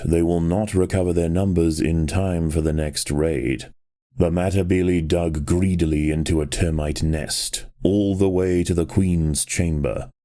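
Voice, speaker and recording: A man narrating a strategy game in the style of a nature documentary, with a measured, grave and dramatic storytelling delivery. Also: low voice, warm tone.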